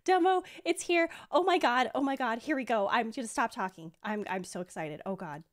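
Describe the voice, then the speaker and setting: A young woman giving an excited, casual reaction to a game trailer, gushing and emotional as she exclaims. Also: on the high side, fairly quick, dry recording. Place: small room indoors at home. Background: game trailer music.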